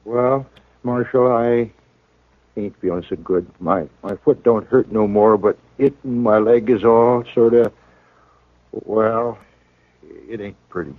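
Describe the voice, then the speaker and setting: A gruff, bitter frontier homesteader, lies wounded and weak, his drawl low and pained. It's an old radio drama with a thin, narrow sound, like a broadcast heard over a telephone. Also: takes it slowly. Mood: weary. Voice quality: drawling, rough country speech. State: weak, in pain. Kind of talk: 1950s radio acting.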